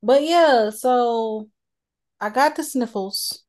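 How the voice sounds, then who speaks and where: A woman co-hosting a podcast in a casual chat, talking about her cold, animated and laughing often. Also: a little high, slow pace. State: has a cold. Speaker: podcast co-host.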